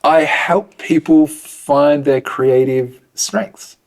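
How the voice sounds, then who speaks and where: A man with an Australian accent, a program director answering interview questions in a relaxed, joking way. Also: on the high side, extremely slow, creaky. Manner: relaxed, self-deprecating humor. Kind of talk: casual interview.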